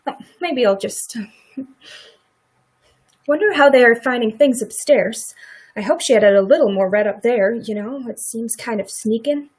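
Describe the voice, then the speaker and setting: A woman in a radio play acting a middle-aged farm wife who is sympathetic, quietly indignant and plain-spoken in a folksy rural way. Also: fairly high pitch, moderate pace, long pauses, slightly rough.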